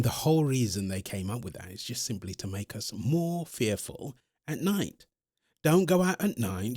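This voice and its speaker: A man's voice: medium pitch, darkish tone, breathy edge, dry recording, studio quality. A man playing an earnest centuries-old vampire in love, polite and insistent, in an ASMR-style audio roleplay. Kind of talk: ASMR audio roleplay, one-sided interview.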